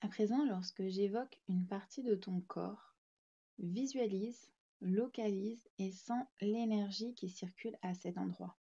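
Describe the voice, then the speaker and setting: A woman guiding a yoga nidra relaxation, speaking in calm, soothing, gently instructing short phrases. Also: mid-range voice, unhurried, breathy edge, lo-fi sound. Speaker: yoga nidra meditation guide. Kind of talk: guided relaxation meditation narration.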